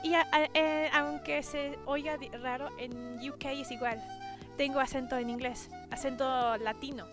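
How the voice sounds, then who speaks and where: A woman's voice: sits high, steady tone, moderate pace, over music. A young woman, an illustrator answering interview questions in a rambling way with many restarts. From Mexico and the UK. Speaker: illustrator guest.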